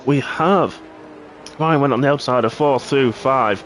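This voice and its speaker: Hoarse male race commentator. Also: medium pitch, unhurried, faint music behind. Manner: excitable play-by-play, rising sharply at incidents. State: hoarse, losing his voice, clearing throat. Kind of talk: live sim racing commentary.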